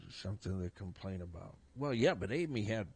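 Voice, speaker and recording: A man's voice: fairly low pitch, expressive, a little fast, slightly rough. An older man hosting a casual radio sports talk show, congested and talking in a rambling, chuckling way. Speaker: radio host. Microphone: studio broadcast mic.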